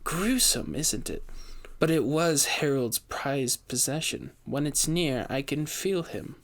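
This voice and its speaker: A man voicing a grieving, secretive high-society widow in an audio story, with a genteel, dramatic, pleading manner. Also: lively intonation, slow pace. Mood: flustered, wistful. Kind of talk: audio fiction character dialogue.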